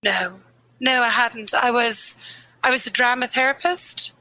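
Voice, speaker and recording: A woman grief therapist speaking earnestly and candidly in a podcast interview, a little croaky, slowing down on the points that matter to her. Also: fairly high pitch, sharp tone, dry recording, rough recording. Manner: earnest, candid, laughs easily, slows on key phrases. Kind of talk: reflective conversational interview.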